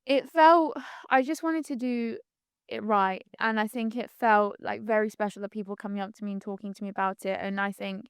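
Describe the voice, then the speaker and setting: A woman's voice: mid-range voice, steady tone, moderate pace, clean tone, no echo, studio quality. A woman, talking reflectively as a podcast guest, full of likes and you-knows. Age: 20s. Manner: chatty, reflective, many "like"s and "you know"s. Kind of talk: casual conversational interview.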